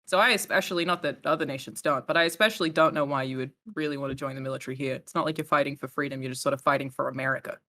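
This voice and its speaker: A woman's voice: a bass voice, steady tone, rapid-fire, hardly any pauses, sharp tone. A woman with an Australian accent, joking and self-deprecating in a casual group chat. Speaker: guest.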